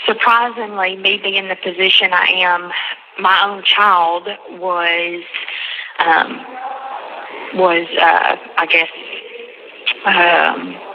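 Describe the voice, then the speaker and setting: A woman on a recorded jail phone call, recounting a conversation in a halting, repetitive way and quoting others at length. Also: on the low side, level tone, background noise. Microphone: telephone handset.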